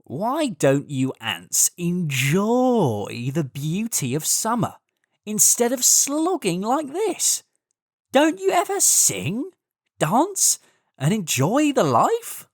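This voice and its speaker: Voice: mid-range voice, wildly swooping pitch, takes it slowly, no room sound, studio quality, pitched above the performer's own voice, full character voice. A storyteller voicing a lazy, merry male grasshopper in a children's tale, teasing and dismissive in a sing-song way. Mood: mocking, carefree.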